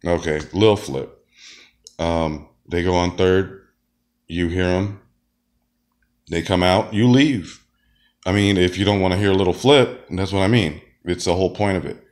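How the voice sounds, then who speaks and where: A man's voice: deep voice, a little slow, pauses often, darkish tone. A man who is sick, talking in a rambling casual monologue with many fillers and restarts, sometimes coughing. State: sick, deep voice. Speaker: wrestler and comedian.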